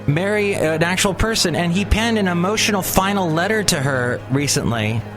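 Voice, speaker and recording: A man's voice: sits high, animated, normal speed, over music, poor audio. A man hosting his own podcast in casual solo talk, rambling and chatty with lots of fillers and restarts. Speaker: podcast host.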